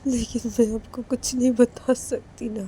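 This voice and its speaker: Tearful young woman, pleading, higher than the narrator, woman doing voice, faint hiss behind. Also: little pitch movement, unhurried, mellow, close to an everyday voice.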